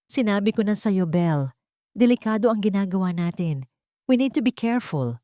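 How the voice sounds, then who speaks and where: A woman's voice: mid-range voice, expressive, moderate pace, dark tone, no room sound, pitched above the performer's own voice, close to an everyday voice. Woman as a timid male doctor, uneasy, cautioning, reluctant accomplice, audio drama, dry sound. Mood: worried. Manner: worried, cautioning.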